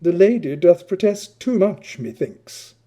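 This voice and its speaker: A man's voice: high voice, big pitch swings, unhurried, warm tone, voice pushed up, exaggerated voice. A man voicing a flamboyant, witty teenage public schoolboy with a florid, affected, theatrical delivery for a novel reading. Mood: arch, defiant.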